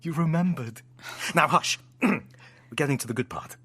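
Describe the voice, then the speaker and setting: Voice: medium pitch, animated, moderate pace, fairly bright tone, husky, no echo. An actor in an audio drama playing a theatrical, playful storyteller friend with hammy flourishes and sheepish, affectionate asides. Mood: gleefully theatrical.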